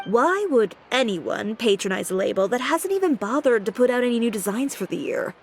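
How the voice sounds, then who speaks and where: A cool, dry boutique owner. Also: female voice, a little high, sing-song, fairly quick, a little hiss or hum. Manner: cool, dry, matter-of-fact. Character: composed, businesslike female boutique owner and designer. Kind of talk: game voice acting. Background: game music.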